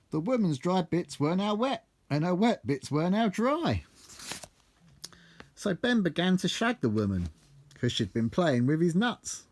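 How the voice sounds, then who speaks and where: A British man reading his own comic rhyming verse in a bawdy storytelling manner with a measured cadence. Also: fairly high pitch. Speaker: author reading own book.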